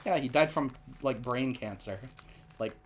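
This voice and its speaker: A man with a nasal voice hosting a casual comedy podcast, telling absurd stories deadpan with lots of fillers into a podcast mic. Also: medium pitch, unhurried, slight background noise. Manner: rambling, deadpan absurd storytelling with many fillers. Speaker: podcast host. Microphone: podcast microphone.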